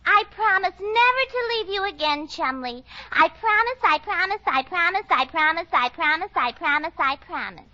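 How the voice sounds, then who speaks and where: Dull old 1940s radio sound carries a young film heroine in a comedy spoof. She pleads with overwrought devotion in an extremely high, bright voice. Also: lively intonation, normal speed, few pauses, exaggerated voice. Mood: melodramatic pleading.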